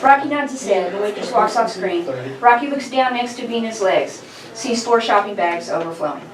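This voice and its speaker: A woman's voice: medium pitch, expressive, moderate pace. Woman narrating a script in an echoey room. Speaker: narrator reading stage directions. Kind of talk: scripted narration at an informal table read. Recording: distant echoey room mic, chatter behind.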